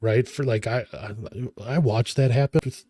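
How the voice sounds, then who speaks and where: A man in his thirties co-hosting a casual chat, recalling memories in a halting way and often correcting himself. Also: mid-range voice, warm tone, no echo, studio quality. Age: 30s. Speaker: podcast co-host.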